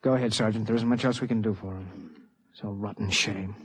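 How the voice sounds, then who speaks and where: An actor playing a terse, commanding but humane army major in a 1950s radio drama. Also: male voice, lowish voice, fairly even intonation, fairly quick, husky. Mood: somber. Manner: clipped, authoritative.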